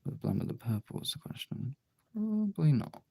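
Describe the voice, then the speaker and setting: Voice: medium pitch, big pitch swings, brisk, creaky, mellow, slightly rough. A casual streamer chatting and muttering to themselves while working on art, with some swearing. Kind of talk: casual chat while colouring artwork.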